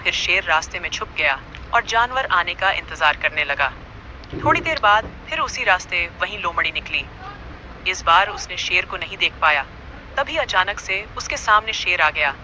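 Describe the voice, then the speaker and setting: A man's voice: sits high, animated, normal speed, pushed and bright, a little hiss or hum, rough recording. A man narrating a scripted animal fable as a storyteller.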